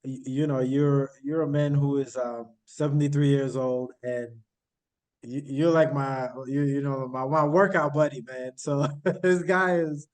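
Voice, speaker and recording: A man's voice: medium pitch, fairly even intonation, measured pace. A man hosting a counseling podcast, asking loose, rambling questions full of fillers. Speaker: podcast host. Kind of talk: casual interview hosting.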